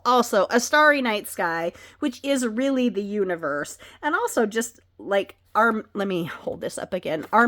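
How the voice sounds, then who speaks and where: A woman reading oracle card messages, gentle and earnest, her voice a bit scratchy from a cold. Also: medium pitch. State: slightly scratchy, recovering from a cold.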